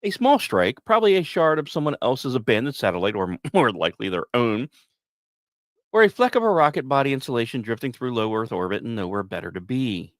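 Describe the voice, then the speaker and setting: A man hosting a podcast, delivering scripted storytelling narration with dramatic emphasis and deliberate pauses. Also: mid-range voice, very animated, dry recording, clean studio sound. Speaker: podcast host.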